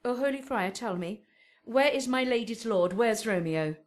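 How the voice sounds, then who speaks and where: An actress playing a loyal, fussing nurse in a Shakespearean radio drama, with emphatic, lamenting delivery. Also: female voice, fairly high pitch, animated, moderate pace, lightly acted. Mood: distressed, scolding. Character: Juliet's Nurse, fussing, loyal, scolding woman servant.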